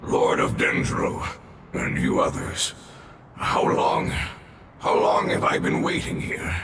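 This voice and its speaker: A man voicing an ancient dying creature in a video game, weary, solemn and devoted, with formal, reverent speech and a gravelly growl. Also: slow, echoey room, distant mic, background noise, close to an everyday voice. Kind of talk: scripted video game voice acting. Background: game music.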